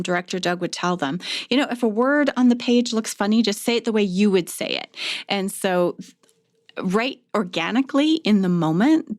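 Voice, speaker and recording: A woman's voice: medium pitch, a little mellow, dry recording. A woman speaking casually in a studio interview, explaining things conversationally. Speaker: interviewee from a drama production.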